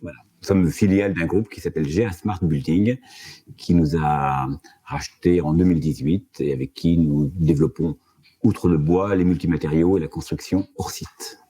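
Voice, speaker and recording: Very deep, dark-toned businessman, measured, explains industry points on a panel. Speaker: company director, panel guest. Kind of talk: panel discussion. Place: office.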